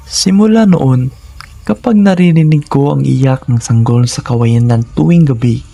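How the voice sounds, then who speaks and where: A man's voice: mid-range voice, unhurried, vocal fry, mellow. A man narrating scripted first-person horror stories in a dramatic, suspenseful manner, in short phrases.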